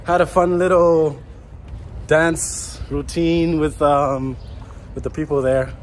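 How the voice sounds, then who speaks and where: A man's voice: fairly high pitch, steady tone, takes it slowly. A young man in his twenties talking casually to a handheld camera while walking through a city, rambling with fillers and restarts. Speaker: travel vlogger. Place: busy city street outdoors. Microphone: handheld camera at arm's length. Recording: street noise and traffic. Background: city traffic.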